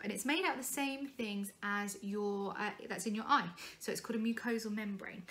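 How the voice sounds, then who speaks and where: A woman in her thirties with a British accent, a singing teacher giving an informal vocal health tutorial, her voice a little croaky after a long day of teaching, talking with her hands and repeating words for emphasis. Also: medium pitch, fairly bright tone. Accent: British English. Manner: chatty, explains with hand gestures, repeats words for emphasis. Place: small room indoors, sitting at a keyboard in front of a sofa.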